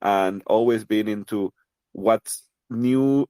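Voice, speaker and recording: A man's voice: lowish voice, slow pace, warm tone, no echo. A man from Costa Rica with a Costa Rican Spanish accent, a native Spanish speaker, talking as a podcast guest in a thoughtful, casual way with many fillers and restarts. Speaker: guest, crypto project representative. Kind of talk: casual interview conversation.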